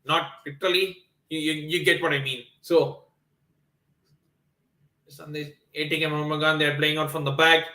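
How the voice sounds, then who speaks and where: Male stream host, casual football commentator, high-ish bright tone, echoey room sound. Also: normal speed, long pauses. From Nepal. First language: not Hindi. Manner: excitable, lots of fillers and restarts. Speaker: livestream watchalong host. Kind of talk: live football commentary and chat with viewers.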